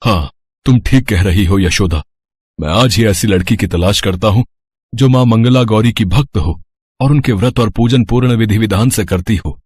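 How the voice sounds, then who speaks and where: A man acts as a careworn merchant husband in a devotional tale. His voice is husky and a little low. He speaks earnestly and hesitantly, with a dry sound. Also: moderate pace, a little mellow, no echo. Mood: agreeing, earnest. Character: worried merchant husband and father confiding in his wife. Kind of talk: devotional story dramatization.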